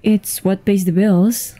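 Woman acting a mellow, unhurried dinner date. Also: mid-range voice, steady tone. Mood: relaxed, chatty. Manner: unhurried, giggly, casual. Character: flirtatious, easygoing businesswoman on a dinner date. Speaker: audio roleplay voice actor. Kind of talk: intimate ASMR roleplay acting, one-sided conversation. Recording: music behind the voice.

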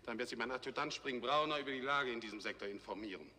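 An actor playing a stern, commanding middle-aged space fleet general, clipped and formal, in 1960s German TV science fiction. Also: male voice, medium pitch, fast, bright, forceful tone. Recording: reverberant, noisy 1960s TV soundtrack.

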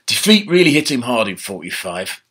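An older man giving a casual, animated history lecture from his home study, speaking into a laptop webcam. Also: mid-range voice, normal speed, breathy edge. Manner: animated, conversational, gesturing with his hands. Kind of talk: informal online history talk. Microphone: laptop webcam mic.

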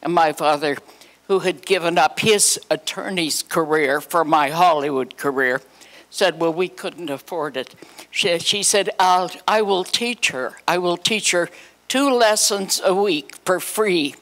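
A woman's voice: lowish voice, unhurried, fairly bright tone, poor audio. A woman in her eighties or older reminiscing to a live audience on a handheld microphone, chatty and anecdotal, acting out quoted dialogue. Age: 80s or older. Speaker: guest speaker, former child actress. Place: hotel meeting room, indoors.

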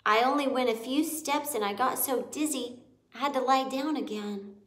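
A woman voicing a calm, serious, thoughtful young boy mouse who has been ill, in a storybook. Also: sits high, moderate pace, voice pushed up. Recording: echoey room.

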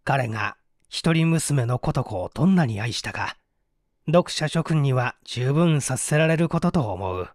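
A man's voice: medium pitch, animated, unhurried, no room sound, clean studio sound. A man reading a mystery story aloud as dramatic scripted audiobook narration. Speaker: audiobook narrator, stage actor.

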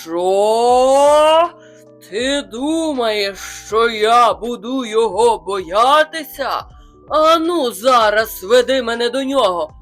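A woman's voice: expressive, slow, faint music behind. A woman voicing a huge, furious male bear who roars threats in a storybook reading. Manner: roaring, booming threats.

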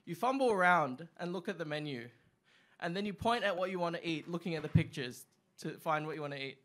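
Man, high bright voice, stand-up comic, halting with lots of ums, small club stage. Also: expressive. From Australia. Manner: halting delivery with many ums and uhs. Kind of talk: open mic stand-up comedy. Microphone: stand mic, far camera.

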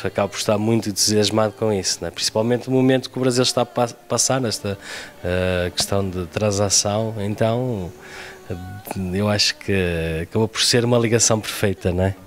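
A fairly low-pitched man with a European Portuguese accent speaks into a handheld mic on a studio kitchen set. He talks in a halting, restarting way, full of fillers, as a restaurateur chatting on a TV interview. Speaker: restaurant owner. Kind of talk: casual interview.

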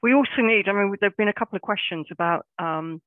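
A woman's voice: mid-range voice, fast. A woman hosting a webinar and putting questions to a guest. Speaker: webinar host. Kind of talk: moderating a Q&A. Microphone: webcam on video call. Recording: tinny phone-like call audio.

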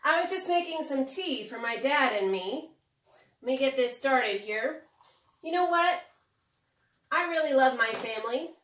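A middle-aged woman presenting a preschool lesson to young children in an animated way, with simple phrasing and frequent questions to the listener. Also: high-pitched, a little slow, pauses often, a little hiss or hum. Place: indoors, home kitchen. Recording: roomy, echoey home kitchen.

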